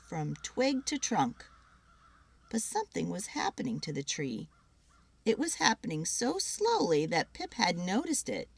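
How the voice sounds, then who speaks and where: A woman giving an expressive, dramatic read-aloud of a children's story. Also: medium pitch.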